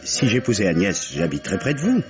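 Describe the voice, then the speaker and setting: Amant intrigant aux intonations très amples. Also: male voice, lowish voice, huge pitch swings, fairly quick, over music. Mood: coaxing. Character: cocky, scheming male lover, scornful and smooth-talking. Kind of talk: melodramatic French radio drama acting. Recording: dull old radio recording.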